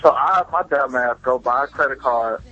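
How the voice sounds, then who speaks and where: A man's voice: mid-range voice, normal speed, bright, forceful tone. Muffled young man telling candid stories. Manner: candid, self-deprecating, profane. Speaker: listener calling a podcast voicemail. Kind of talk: casual personal storytelling. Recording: muffled lo-fi voicemail with music.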